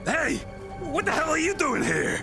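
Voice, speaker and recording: A man voicing a brutish, cocky villain henchman in a video game, with a gravelly, taunting, exaggerated delivery. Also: extremely high pitch, wildly swooping pitch, normal speed, over music, full character voice. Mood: outraged. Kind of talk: video game cutscene acting.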